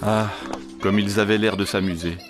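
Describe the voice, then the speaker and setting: A man telling a children's storybook tale in an expressive, theatrical way. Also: on the low side, moderate pace. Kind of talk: animated children's storybook narration. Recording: music behind the voice. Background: music.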